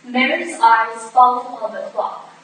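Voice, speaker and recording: A woman's voice: fairly high pitch, a little slow, a bit bright. A woman narrating the stage directions of a scripted play at a live staged reading. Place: live staged reading in a roomy indoor venue. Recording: echoey hall from far off, noisy.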